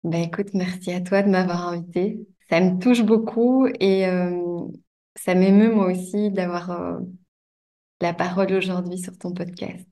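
A woman, a podcast guest slowly telling her life story with many hesitations. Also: on the low side, level tone, warm tone. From Belgium. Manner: slow, thoughtful, frequent hesitations. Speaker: guest, coach. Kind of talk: personal interview storytelling. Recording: voice distant from the mic.

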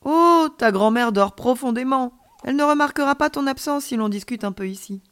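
Woman voicing a high, playful alien boy. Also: animated, fairly quick, fairly bright tone, clean tone. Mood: mischievous. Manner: playful, enthusiastic, encouraging. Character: joyful, mischievous alien boy from the stars. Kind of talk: children's audiobook character voice.

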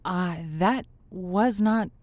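A woman's voice: mid-range voice, very slow, a little mellow, no room sound, studio quality. A woman playing a guarded but kindly host of a lonely hearts radio call-in, speaking hesitantly and encouragingly in an audio drama. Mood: flustered. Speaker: radio advice show host.

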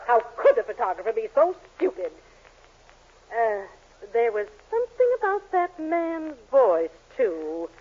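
Casting: haughty middle-aged matron, high and very animated, tinny old radio sound. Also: female voice, unhurried, a little hiss or hum. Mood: suspicious, dismayed. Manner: theatrical, affronted exclamations. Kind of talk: 1940s radio sitcom acting. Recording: tinny narrow-band 1940s radio recording.

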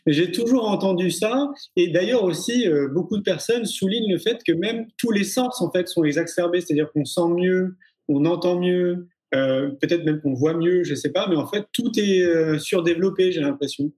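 A Parisian man hosts a relaxed interview and rambles with fillers. His voice is husky and a little raised in pitch. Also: normal speed. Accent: Parisian French. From Paris, France. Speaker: host. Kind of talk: casual interview.

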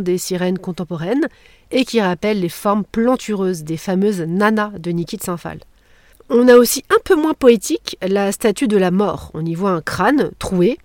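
A woman's voice: mid-range voice, darkish tone, dry recording. A woman hosting a podcast, reading scripted narration with playful, jokey asides into a close mic. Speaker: podcast host.

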